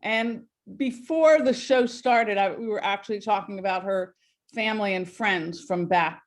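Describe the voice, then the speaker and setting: Woman host, mid-pitched voice, warm and rambling, filler words, video call. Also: normal speed. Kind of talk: live webinar hosting.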